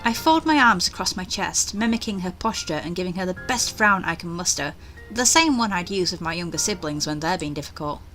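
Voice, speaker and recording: A young woman with a bright, crisp-edged voice hosts a casual let's play. She moves between offhand commentary and reading game text aloud, with faint music underneath. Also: lowish voice, animated, fairly quick, rough recording.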